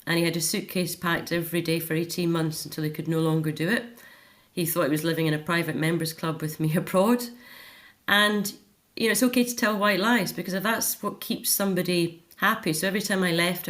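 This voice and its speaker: Middle-aged female interviewee, lowish voice, reflective and articulate, natural personal conversation. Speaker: interview guest, author.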